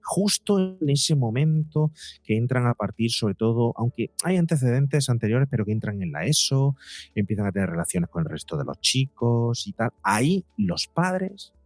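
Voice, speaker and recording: A man's voice: medium pitch, dry recording. A middle-aged man with a Spanish accent from Spain, giving emphatic, rambling explanations as an expert guest in an interview. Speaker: guest psychologist and podcaster.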